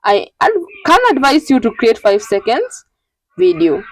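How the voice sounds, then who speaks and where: A woman narrating an informal step-by-step tutorial in a halting, repetitive, instructional way. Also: medium pitch, very animated, dry recording. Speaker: tutorial host.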